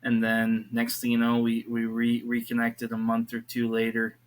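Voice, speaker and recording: A man's voice: mid-range voice, monotone, moderate pace. A man in his thirties, a youth pastor, talking earnestly as a podcast guest and rambling with many restarts. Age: 30s. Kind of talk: casual faith conversation.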